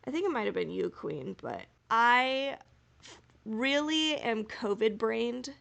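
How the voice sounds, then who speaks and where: Female podcast co-host, casual and giggly, enthusiastic fan chat, some vocal fry. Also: a little high, animated, slow pace, a bit bright. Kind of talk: casual fan discussion with recap.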